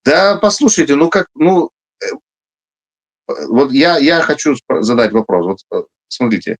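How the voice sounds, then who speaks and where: A man's voice: medium pitch, lively intonation, a little slow. A man who is a military officer, answering interview questions emphatically, with frequent hesitations and restarts. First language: Russian.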